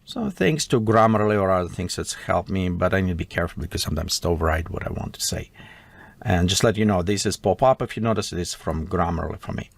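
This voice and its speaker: Tutorial host, male, Russian accent, non-native English, lowish voice, dry close mic, talks while typing. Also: expressive, fast, vocal fry, no room sound. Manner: thinks aloud while typing, with broken non-native grammar. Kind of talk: screen-recorded software tutorial.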